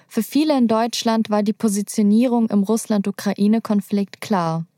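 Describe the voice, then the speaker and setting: A young woman narrates a documentary in a calm, measured voice with a soft, mellow tone. She is recorded close and dry, with no room sound around her. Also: mid-range voice, no echo. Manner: calm, measured, personal storytelling.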